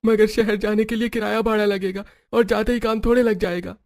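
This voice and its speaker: A man's voice: high voice, little pitch movement, a little fast, creaky, darkish tone. A man playing a poor, indebted village husband in a cartoon story, meek, grumbling and plaintive. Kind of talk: Hindi cartoon story acting. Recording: music behind the voice.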